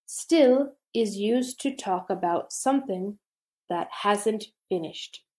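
A woman reading a scripted grammar lesson aloud, slowly and deliberately with pauses between phrases. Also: mid-range voice, lively intonation, darkish tone. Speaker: English teacher.

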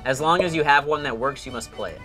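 A man's voice: medium pitch, fast talker, pushed and bright, faint music behind. A young American man bantering casually over a board game and explaining rules. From Virginia, United States. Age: young adult. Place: indoor studio room at a table.

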